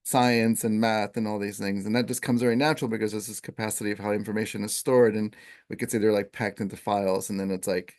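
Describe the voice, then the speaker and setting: A man with a somewhat low voice explains ideas in an easygoing, conversational way. He talks in a loose, meandering manner, filling pauses with "um" and "like" as he works through each point. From Italian first language. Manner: relaxed, filler-heavy, chants Sanskrit verses. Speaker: Ayurveda teacher.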